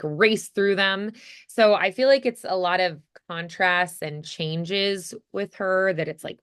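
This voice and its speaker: Bright, clear, unhurried woman coach. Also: on the low side. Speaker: voice acting coach. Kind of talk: casual one-on-one coaching.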